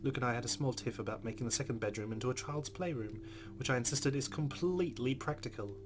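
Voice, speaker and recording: A man hosting a let's play, reading the game's narration aloud expressively with quieter casual asides. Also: mid-range voice, fast talker. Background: guitar game music.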